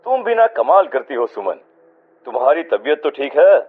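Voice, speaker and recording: Man acting a dismissive young husband, husky voice. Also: a little high, big pitch swings, normal speed. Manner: reassuring, mildly dismissive. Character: calm, dismissive young husband reassuring his wife. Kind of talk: dramatized horror story voice acting. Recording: muffled band-limited audio with music.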